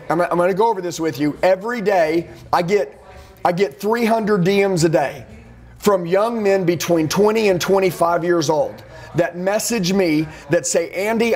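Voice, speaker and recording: A middle-aged man coaching salespeople, blunt and intense, acting out recruiting conversations as examples. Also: high voice. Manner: intense, blunt, preachy with role-play. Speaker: sales trainer. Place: dark studio office with neon sign.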